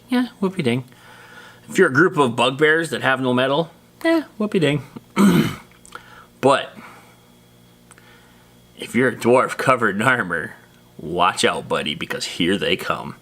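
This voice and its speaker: Bright-voiced man rambling casually about tabletop gaming. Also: medium pitch, normal speed, long pauses. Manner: animated, slangy, rambling with dramatic emphasis. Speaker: host of a tabletop roleplaying monster lore series. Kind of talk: casual unscripted explainer to viewers.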